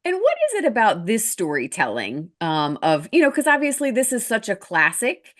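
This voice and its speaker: A woman's voice: mid-range voice, wildly swooping pitch, moderate pace, fairly bright tone. A woman hosting a talk show, chatting in an upbeat, informal way as she interviews a guest. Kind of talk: casual interview chat.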